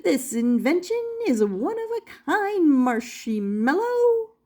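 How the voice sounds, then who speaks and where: A woman plays an eccentric little male inventor from a children's storybook. He is exuberant and swoops about in a high, sing-song voice, rhyming as he boasts. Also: very animated, slow pace, mellow, full character voice. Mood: exuberant, boastful.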